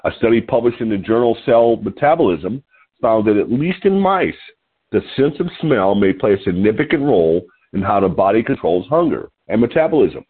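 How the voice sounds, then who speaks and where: A man's voice: lowish voice, lively intonation, a little fast, husky. A man in his sixties, nasal and sniffly from allergies, hosting a call and reading an article aloud with small chuckling asides. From California. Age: 60s. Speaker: host. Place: home room. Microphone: phone line. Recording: tinny phone-line call.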